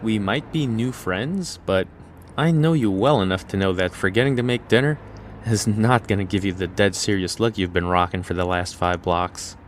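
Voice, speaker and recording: A man's voice: on the low side, normal speed, slight background noise, close to an everyday voice. A man playing a friendly, frank, well-off young man in an audio drama. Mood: friendly, earnest. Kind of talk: audio drama character acting.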